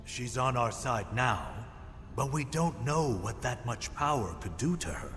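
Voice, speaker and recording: A man's voice: medium pitch, expressive, measured pace, a bit bright, faint music behind. An actor playing a troubled, conflicted male warrior in a fantasy video game, grave and doubtful in his speech. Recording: long reverb, faint ambient noise.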